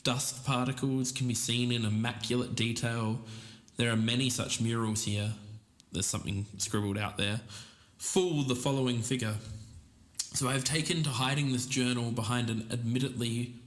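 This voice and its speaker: A man's voice: mid-range voice, fairly even intonation, moderate pace, close to an everyday voice. An Australian man playing a careful, earnest podcaster in a scripted audio drama. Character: earnest male podcaster investigating a mysterious lost show. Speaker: podcast co-host character.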